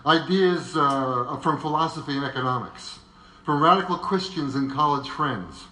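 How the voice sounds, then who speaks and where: A man's voice: fairly high pitch, moderate pace, sharp tone. A man presenting a semi-scripted biographical narration in a conversational way, with wry asides. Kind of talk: semi-scripted narration before a live audience. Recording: echoey live room.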